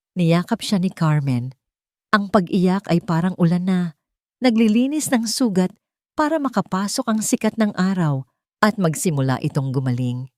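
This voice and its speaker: A woman narrating a scripted sentimental drama story in a measured, dramatic storytelling manner. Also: on the low side, a little mellow, no echo, studio quality.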